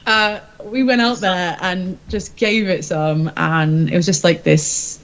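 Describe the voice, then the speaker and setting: A woman's voice: lowish voice, steady tone, takes it slowly. Woman chatting, slightly dull audio. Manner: informal, rambling, self-deprecating. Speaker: rock singer-songwriter being interviewed. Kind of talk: casual interview chat.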